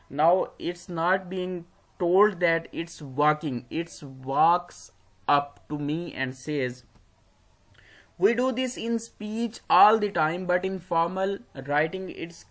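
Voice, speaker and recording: A teacher with a Pakistani accent lecturing students, reading text aloud and explaining it in a halting way, often repeating phrases. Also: mid-range voice, a bit bright. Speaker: English composition teacher.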